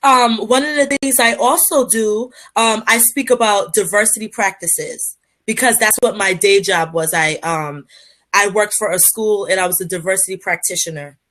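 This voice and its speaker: A woman's voice: medium pitch, normal speed, fairly bright tone. A woman from Liberia, a public speaker, answering interview questions in an earnest, motivational way with frequent fillers and restarts.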